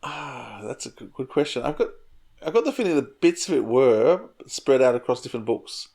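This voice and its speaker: A man's voice: mid-range voice, sing-song, normal speed, creaky, darkish tone, slightly rough. A man co-hosting an audio drama podcast, chatting casually and enthusiastically with frequent restarts. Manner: rambling, enthusiastic, restarts often. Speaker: podcast co-host. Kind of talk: casual chat.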